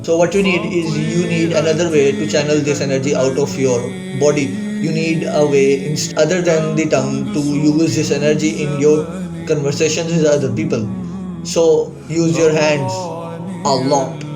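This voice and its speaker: Young man, earnest casual advice, mic set back, nasheed vocals clearly under him. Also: on the high side. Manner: earnest, repeats "bro" and "like", small restarts. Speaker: student giving self-help advice. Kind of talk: casual advice talk to camera. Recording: distant mic with clear background music.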